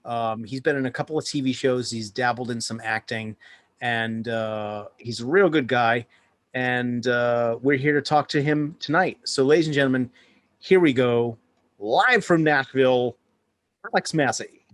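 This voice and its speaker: A man's voice: mid-range voice, normal speed, a bit bright, no room sound. A man co-hosting a podcast, introducing a guest in a loose emcee style. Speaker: podcast co-host. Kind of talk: casual chat, introducing a guest.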